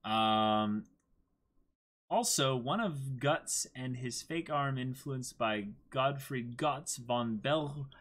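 A man's voice: mid-range voice, takes it slowly, fairly bright tone. A man in his twenties co-hosting a livestream, chatting casually and agreeably about what he and the viewers are discussing. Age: 20s. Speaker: co-host of a livestream reading out chat messages. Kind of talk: casual chat, discussing manga. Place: indoor room, seated.

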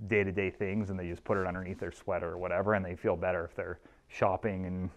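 A man's voice: deep voice, little pitch movement, fast talker, muffled recording. A man in his thirties leading a chiropractic workshop in a small clinic room, talking loosely to a seated audience with many fillers and restarts. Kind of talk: informal presentation with audience questions. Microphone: room camera at a distance.

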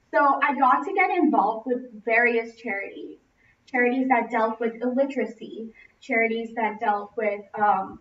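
A woman's voice: a little high, fairly bright tone. A young woman from Los Angeles with an American accent gives a prepared narrative speech, projecting expressively to a classroom. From Los Angeles, United States. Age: young adult. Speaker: student speaker. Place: classroom, indoors. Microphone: distant camera microphone. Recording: roomy, distant, muffled, noisy camera audio.

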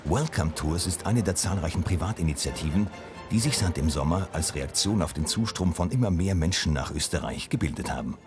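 A man reading a scripted news voice-over in a calm, even-paced delivery. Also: low voice, fairly quick, music in the background. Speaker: reporter narrating.

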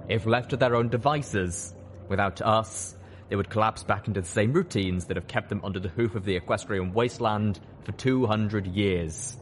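A man's voice: lowish voice, moderate pace, slight background noise, natural voice. A man voicing a condescending, grandiose male pony leader, pompous and patronising, in an audiobook. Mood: condescending, amused. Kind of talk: dramatised audiobook storytelling.

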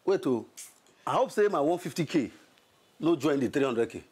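A Nigerian man acting in a Pidgin screen drama as a hard-up, frustrated young hustler, insistent and aggrieved, with a rough voice. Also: a little high, animated, moderate pace, a little mellow. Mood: worried. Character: hard-up, frustrated young male hustler wanting his money.

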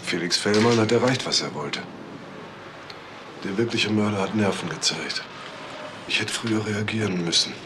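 A man plays a grave senior investigator in a crime radio drama. His voice is very low, his lines sober and decisive. Noise is clearly audible. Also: moderate pace, long pauses, natural voice.

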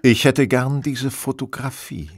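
An actor playing a cool, courteous, brooding master detective in a German audio drama, formal and reserved. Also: male voice, mid-range voice, measured pace, breathy edge, no room sound. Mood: polite, reserved.

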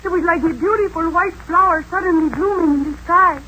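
Headstrong, pleading girl for vintage radio melodrama, very high pitch, formal dramatic phrasing. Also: a little fast, sharp tone, highs cut off. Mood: awed. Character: headstrong, impassioned young girl, pleading and possessive. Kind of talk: old-time radio melodrama acting. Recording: faintly noisy old radio recording.

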